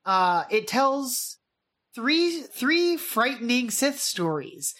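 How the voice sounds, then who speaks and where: Lively young woman chatting on a podcast. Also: fairly high pitch. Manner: chatty, animated, quick asides. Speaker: podcast co-host. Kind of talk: casual chat.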